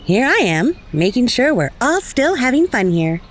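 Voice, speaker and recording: A woman's voice: a little high, big pitch swings, normal speed, slight background noise. An actress playing a cheeky, self-assured young female inspector in an audio drama, drily amused and teasing. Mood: smug.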